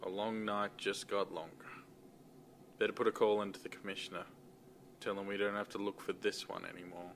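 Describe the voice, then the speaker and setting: A man playing a gruff, no-nonsense police lieutenant with a dry, curt manner in a fan-dub drama. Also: lowish voice, little pitch movement, brisk, slight background noise, close to an everyday voice. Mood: weary, stern.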